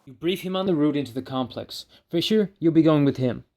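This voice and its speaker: An actor playing the commanding leader of a dream-heist crew in a fan audio drama. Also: male voice, on the high side, fairly quick, mellow, natural voice. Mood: briefing.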